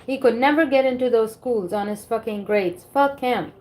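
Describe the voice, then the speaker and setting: A woman's voice: on the high side, normal speed, a little mellow, lightly acted, poor audio. A woman with a non-native English accent reading the lines of a brash, profane, boastful male business tycoon in a memoir, blunt and cocksure. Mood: angry, scornful.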